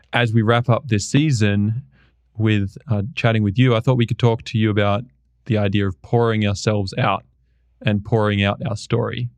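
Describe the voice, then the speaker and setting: A man's voice: lowish voice, a little mellow, no echo. A man hosting a podcast, asking questions in an easygoing way and chiming in with short affirmations. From Australia. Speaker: podcast host. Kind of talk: casual interview.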